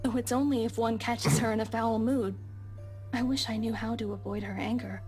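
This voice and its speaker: Woman acting high-voiced, eerily devoted housemaid. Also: steady tone, moderate pace, darkish tone, soft background music, close to an everyday voice. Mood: wistful. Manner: formal, deferential, archaic phrasing. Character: soft-spoken young housemaid, devoted, obsessive and cryptic. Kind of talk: visual novel game acting.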